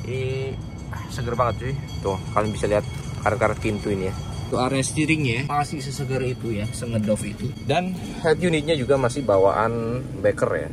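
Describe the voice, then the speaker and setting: A man's voice: mid-range voice, fairly quick, music in the background. A man giving an informal, slangy, enthusiastic walkaround sales talk on a car. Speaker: car seller presenting a used car. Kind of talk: casual walkaround review to camera. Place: outdoors by a car and inside it. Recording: clear outdoor and car background noise.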